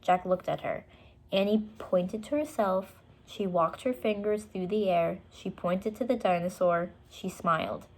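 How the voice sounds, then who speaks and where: A woman's voice: medium pitch, a little slow. A woman storyteller narrating a children's book, with short explanatory asides for young listeners.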